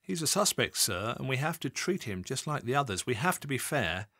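A weary detective answers his superior with restraint and careful deference, as a male narrator plays him, staying close to the narrator's own mid-pitched voice. The recording is dry and close. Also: big pitch swings, fairly quick, bright, forceful tone, slightly rough, no room sound, exaggerated voice. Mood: guarded.

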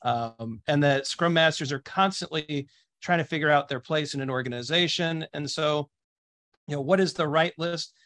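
A man's voice: a little high, normal speed, fairly bright tone, dry recording. Emphatic man on a dry video call. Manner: emphatic, fervent phrasing. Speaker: panelist, agile coach. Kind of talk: casual panel discussion.

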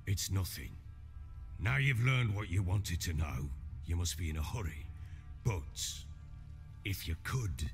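An actor playing a burly, blunt middle-aged baron in a video game, gruff and earthy in the way he talks. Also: sits low, animated, a little slow, faint music behind. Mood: earnest. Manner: earthy, storytelling. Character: burly gruff baron, blunt, jovial, world-weary older man. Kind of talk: video game dramatic dialogue.

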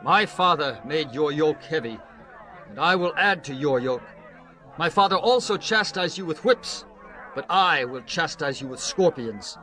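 A man's voice: fairly high pitch, lively intonation, unhurried, pushed and bright. An actor playing a proud, stern young king in a dramatized scripture reading, declaiming formally in archaic words. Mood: harsh. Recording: music bed behind the voice.

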